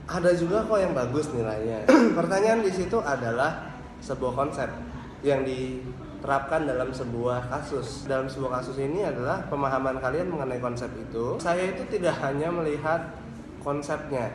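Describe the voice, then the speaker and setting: A man's voice: mid-range voice, normal speed. Explanatory male lecturer in an echoey room. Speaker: university lecturer. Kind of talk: interview answers. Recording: echoey room with clear background noise.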